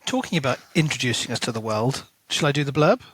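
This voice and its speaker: A man's voice: mid-range voice, expressive, fast, breathy edge, no echo, low-quality recording. A man co-hosting a book podcast in casual, playful chat.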